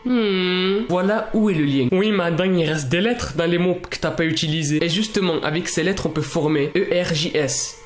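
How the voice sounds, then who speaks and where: A man's voice: fairly high pitch, moderate pace, few pauses. A young man telling an internet mystery as a YouTube host, chatty and slangy, with playful asides to the viewer. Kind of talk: casual storytelling commentary on an internet mystery. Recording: roomy echo with clear background music. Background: music.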